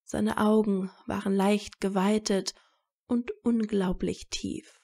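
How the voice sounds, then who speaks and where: A woman reading scripted romantic fiction as a narrator in soft, measured storytelling. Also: mid-range voice, steady tone, creaky.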